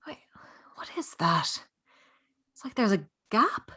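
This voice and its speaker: A woman playing a calm, sceptical character in a drama table read, questioning and unhurried. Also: medium pitch, husky, exaggerated voice. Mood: curious.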